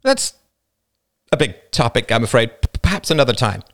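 A man's voice: medium pitch, big pitch swings, normal speed, a bit bright, pitched above the performer's own voice. A man voicing an anxious, defensive young man who stammers and talks in a pressured way. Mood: flustered, evasive.

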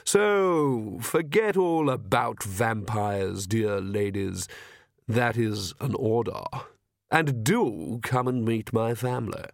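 A suave young gentleman vampire, acted by a male narrator, who speaks in a slow, courtly drawl. He is polite and condescending, a storybook villain with perfect manners. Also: mid-range voice, animated, creaky, dry recording, studio quality. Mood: calmly commanding. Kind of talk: audiobook character voice.

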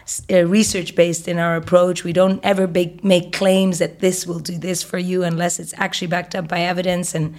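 A woman in her forties, originally from Egypt, speaks as an interview guest. Her voice sits a little low for a woman. She explains things fluently and at length, with frequent ums and uhs. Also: flat delivery, moderate pace, hardly any pauses, a little mellow. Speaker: guest, fitness educator. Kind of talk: interview conversation.

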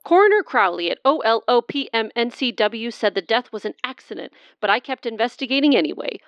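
Woman playing a high, muffled, needy crime tech. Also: big pitch swings, brisk, no echo. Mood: earnest, urgent. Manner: earnest, awkward. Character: earnest, needy crime scene technician craving approval. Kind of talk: comic radio-play acting. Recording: muffled band-limited phone call.

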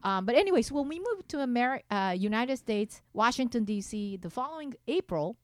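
A woman's voice: a little high, very animated, brisk, no room sound. A young woman whose first language is Japanese, a podcast guest telling stories in a playful, chatty way with many fillers and restarts. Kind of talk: casual interview chat.

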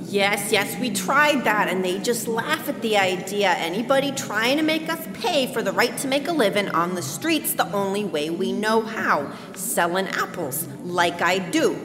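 A woman's voice: medium pitch, animated, unhurried, few pauses, lightly acted. A woman playing a folksy, plain-spoken apple seller rallying fellow beggars in a live radio drama. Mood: rallying, determined. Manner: folksy, emphatic, ungrammatical speechmaking. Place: church hall stage. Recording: echoey hall, music behind.

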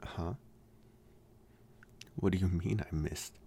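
A young man playing a protective bully with a secretly tender side in an intimate ASMR roleplay, speaking softly and haltingly with gruff care. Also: sits low, steady tone, a little hiss or hum. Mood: bashful, tender. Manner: halting, soft-spoken, gruffly caring.

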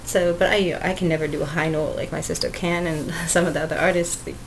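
A woman's voice: low voice, fairly even intonation, fast, slight background noise, poor audio. A woman hosting her own podcast, talking casually and rambling with lots of fillers and small laughs, in a sweet, cute voice. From Canada. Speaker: podcast host. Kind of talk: casual solo podcast talk.

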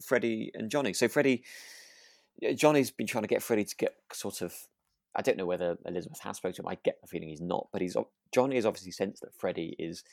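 A man co-hosting a podcast in a relaxed conversational style, hesitant and often rephrasing as he goes. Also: fairly low pitch, quick pace, vocal fry, dry recording, clean studio sound. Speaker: podcast co-host. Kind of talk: relaxed conversational discussion of a radio drama.

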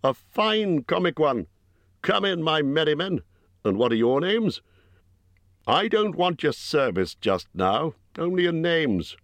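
Man doing a rough booming bear-man host, higher and louder than his own voice, dry close sound. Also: big pitch swings, unhurried, no room sound, full character voice. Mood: gruff. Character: gruff huge bear-man host, blunt and impolite.

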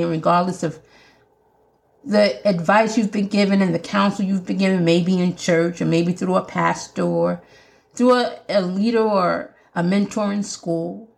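Gravelly Jamaican woman preaching. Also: medium pitch, a little mellow. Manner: earnest, emphatic, with restarts. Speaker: podcast host. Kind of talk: preaching podcast monologue.

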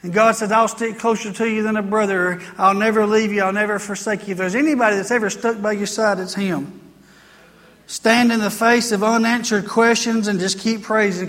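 A woman's voice: fairly high pitch, fairly bright tone. An American woman preaching a sermon to a congregation in an emphatic, repetitive, exhorting manner. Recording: reverberant hall sound.

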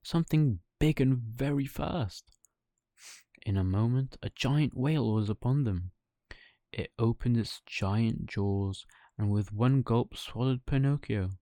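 Unhurried, mellow storytelling man. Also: medium pitch, no room sound. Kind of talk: bedtime story narration.

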